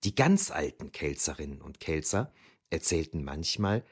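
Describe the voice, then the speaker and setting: A man's voice: rumbling low, very animated, normal speed, breathy edge, exaggerated voice. A man playing a reflective ancient historian who narrates memories of youth in a scripted, wryly explanatory way for an audio drama. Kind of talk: scripted first-person narration.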